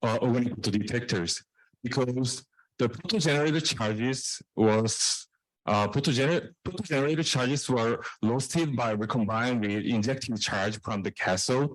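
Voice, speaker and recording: Man, technical presentation, halting delivery, repeated phrases, mid-pitched voice, dry close sound. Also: no room sound, rough recording. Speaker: researcher presenting own work.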